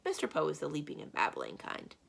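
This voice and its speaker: A woman reading a children's book aloud as a library storyteller, with expressive narration. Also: low-pitched, brisk, gravelly, breathy edge.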